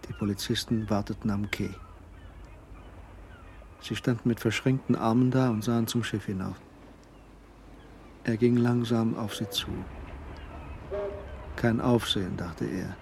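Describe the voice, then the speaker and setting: A man narrating a radio drama in a measured, suspenseful, scripted delivery. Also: lowish voice, fairly even intonation, creaky, darkish tone, a little hiss or hum.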